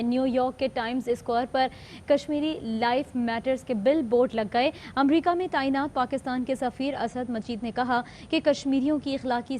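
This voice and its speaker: Woman news anchor, Pakistani Urdu accent, fairly high voice, faint background noise. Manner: steady formal reading. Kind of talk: studio news reading.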